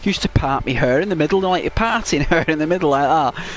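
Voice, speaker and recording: A man's voice: on the high side, brisk, soft background music, low-quality recording. A man with a British accent chatting casually while playing a video game, rambling with lots of "like" and restarts. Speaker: gaming channel host.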